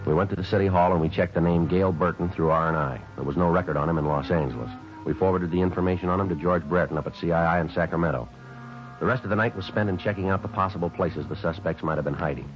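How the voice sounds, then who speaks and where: A man's voice: deep voice, fast, faint music behind, natural voice. An American man acting a stoic police detective in a 1950s radio drama, speaking and narrating in a terse, matter-of-fact way. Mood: flat, factual. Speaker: narrator and lead actor. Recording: dull vintage 1950s radio recording.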